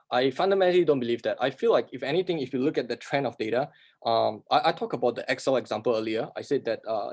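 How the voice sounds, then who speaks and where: A man who is not a native English speaker answers workshop questions, talking fast and emphatically, often asking and answering his own rhetorical questions. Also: medium pitch, darkish tone. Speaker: workshop instructor.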